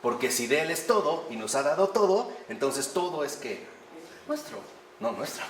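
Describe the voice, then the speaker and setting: Male lecturer, animated, asks the audience rhetorical questions, echoey room with faint background hum. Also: fairly high pitch, moderate pace. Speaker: priest teaching a class. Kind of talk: conversational lecture on faith.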